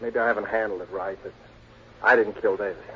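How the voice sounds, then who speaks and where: An American actor playing a cocky, slangy, hard-boiled tough guy in 1940s radio crime drama style, with a husky voice. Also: fairly low pitch, fairly even intonation, fairly quick, slight background noise, close to an everyday voice. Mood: urgent, defensive. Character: cocky, slangy, hard-boiled male crook or private eye. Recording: dull old 1940s radio recording.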